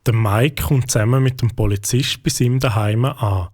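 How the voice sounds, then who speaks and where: A man with a Swiss German accent narrating a children's audio story calmly. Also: mid-range voice, normal speed, slight background noise.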